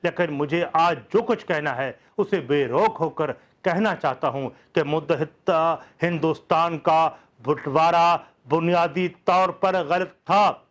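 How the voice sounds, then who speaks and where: A man host reciting a historic speech in an impassioned, oratorical way. He swells loud and slow on key phrases, then drops low and quiet.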